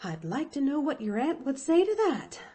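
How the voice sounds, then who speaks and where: A woman's voice: high voice, sing-song, normal speed, dark tone, pitched above the performer's own voice. A woman voicing a kindly, dogged country housemaid in a storybook, folksy and ungrammatical, full of flustered exclamations. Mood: shocked.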